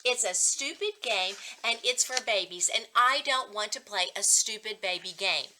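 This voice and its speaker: Woman voicing a sullen, bright-edged young brother. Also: mid-range voice, moderate pace, a little hiss or hum, rough recording. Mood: angry. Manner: curt, grudging, trying to sound bored. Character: sullen, irritable young brother giving curt answers.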